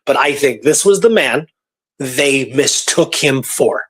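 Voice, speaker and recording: A man hosting a radio show, telling a historical case in a casual, animated, conversational way. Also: medium pitch, slow, husky.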